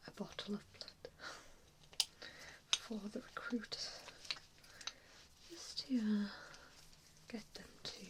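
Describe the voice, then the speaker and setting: A woman whispering an ASMR roleplay as a gentle vampire mentor, with a hesitant, reassuring and softly spoken manner. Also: mid-range voice, slow, long pauses, poor audio. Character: gentle, self-doubting female vampire mentor to new recruits.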